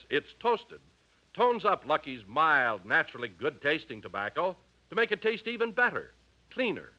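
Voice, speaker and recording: A male American announcer with a very bright, forceful, fairly high voice reads scripted 1950s radio copy. The broadcast recording is a little dull.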